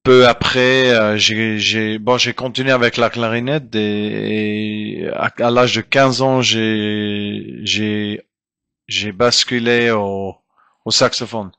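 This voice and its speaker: Guy talking slow in french, bright edgy voice, keeps restarting and hunting for words. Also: on the low side, little pitch movement, smooth. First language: German. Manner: hesitant, searching for words with many restarts. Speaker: guest musician. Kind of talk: casual interview talk.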